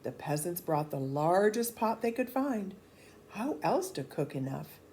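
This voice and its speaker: Female children's storybook reader, animated and deliberate, very varied pitch, slight noise behind. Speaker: children's read-aloud storyteller. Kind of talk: expressive storybook narration.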